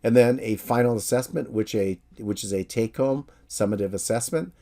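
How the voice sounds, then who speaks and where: A middle-aged American man, a professor from San Francisco, giving a calm, friendly, measured course introduction. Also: fairly low pitch. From San Francisco, USA.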